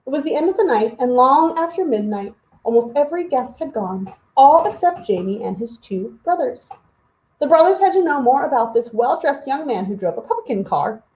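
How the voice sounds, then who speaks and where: Mellow, echoey woman reading a children's story. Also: sits high, normal speed. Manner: animated, talks directly to young children. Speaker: children's story hour host. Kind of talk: expressive storybook read-aloud with chatty asides.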